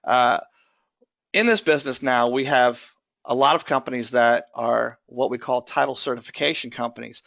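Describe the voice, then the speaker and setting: Man, webinar presenter, strained bright voice, explanatory, filler-heavy, dry lo-fi sound. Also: medium pitch, vocal fry, no room sound. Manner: explanatory, many filler uh's. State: voice strained from bronchitis. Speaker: tax lien investment presenter.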